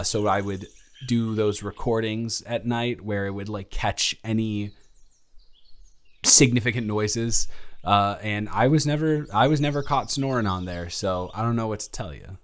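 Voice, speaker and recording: A man's voice: fairly low pitch, fairly even intonation, a little slow, lightly acted. A young man playing an awkward, smitten, introverted college dormmate in a romantic audio roleplay, rambling and stammering in a flustered way. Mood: nervous, playful. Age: young adult. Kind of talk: intimate romantic audio roleplay, conversational acting.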